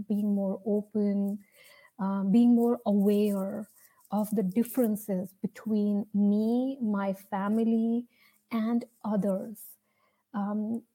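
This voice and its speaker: A young woman from India with an Indian accent tells her personal story off the cuff, earnestly and with hesitant pauses. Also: fairly high pitch, warm tone, rough recording. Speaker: speaker sharing a personal story. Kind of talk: personal storytelling, unscripted talk.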